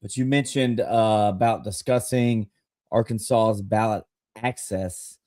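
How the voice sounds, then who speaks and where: A man hosting a podcast, asking an interview question in a measured way. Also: lowish voice, steady tone, mellow, no echo, studio quality. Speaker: podcast host.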